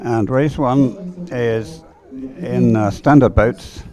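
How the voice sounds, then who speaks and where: A man giving live, unscripted sports commentary as a race commentator, with a halting, rambling delivery full of hesitation. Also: medium pitch, a little mellow.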